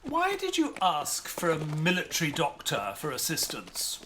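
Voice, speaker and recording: Slow-spoken, wry doctor, expressive. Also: medium pitch, slight background noise. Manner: dry, polite, wry. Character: English army doctor, dry-witted, proper. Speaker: narrator and companion. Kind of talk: audio drama narration and acting.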